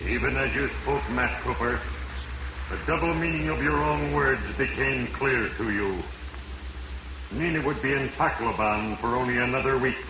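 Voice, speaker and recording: A man's voice: mid-range voice, moderate pace, natural voice. Actor as an ominous, echoey old-radio narrator man. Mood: solemn, ominous. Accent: American. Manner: solemn, ominous second-person storytelling. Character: ominous male narrator, voice of fate. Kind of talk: 1940s radio drama narration. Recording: muffled, noisy 1940s radio recording, echoey.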